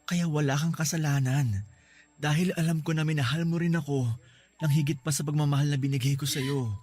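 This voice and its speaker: A man's voice: on the high side, fairly even intonation, brisk, a little mellow, soft background music, close to an everyday voice. An actor playing an injured young man, a forgiving boyfriend in a radio drama who speaks tenderly and earnestly. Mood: reassuring. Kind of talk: classic radio-drama acting.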